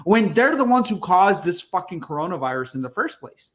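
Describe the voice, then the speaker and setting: Brash higher-voiced man in his thirties. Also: sing-song, fairly quick. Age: 30s. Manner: blunt, profane, jokey ranting. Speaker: podcast co-host. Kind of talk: casual chat.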